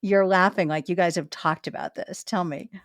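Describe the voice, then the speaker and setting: A woman's voice: fairly low pitch, fairly even intonation, normal speed, no room sound, studio quality. A woman hosting a podcast interview, enthusiastic and conversational, asking about craft. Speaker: podcast host. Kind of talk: casual interview chat.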